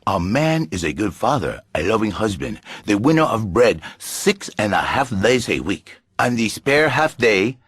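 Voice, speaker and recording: An actor playing a flamboyant, pompous Latin lothario with a Spanish accent and husky voice, theatrical and grandiose in broken English on satirical talk radio. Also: male voice, on the low side, sing-song, a little slow, hardly any pauses, fairly bright tone, dry recording, exaggerated voice. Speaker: radio talk show guest.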